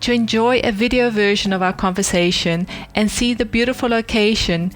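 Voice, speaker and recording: A woman's voice: medium pitch, moderate pace, faint music behind. A woman hosting an art podcast, reading a scripted introduction and then asking interview questions in an encouraging, enthusiastic way. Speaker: podcast host.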